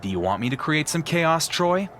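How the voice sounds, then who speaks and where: A man playing a wary, defiant pro wrestling champion in a video game, speaking in a dry, deliberate way. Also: fairly high pitch, very animated, a bit bright, a little hiss or hum. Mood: edgy, defiant.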